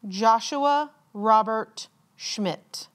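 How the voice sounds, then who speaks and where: A woman with a slightly high voice serves as a formal ceremony announcer. She reads names extremely slowly, enunciating each one deliberately and leaving measured pauses. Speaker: commencement announcer reading graduates' names.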